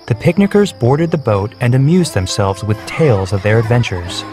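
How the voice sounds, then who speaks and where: A man reading a children's storybook, clear and measured. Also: mid-range voice, big pitch swings. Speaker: narrator. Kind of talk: scripted storybook narration. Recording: soft background music.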